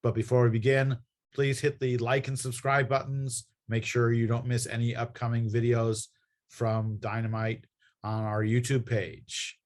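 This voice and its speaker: A man's voice: mid-range voice, flat delivery, measured pace. Man, mid-range voice, affable, chatty, casual interview host. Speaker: interview host for a comics publisher. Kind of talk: casual promotional video interview.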